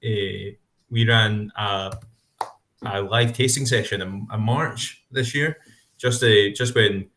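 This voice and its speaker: Man, fairly low voice, casual co-host chat, rambling with restarts, roomy echoey sound. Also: fairly even intonation, slow pace, a bit bright. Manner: rambling, with many restarts and asides. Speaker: podcast co-host. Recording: echoey room.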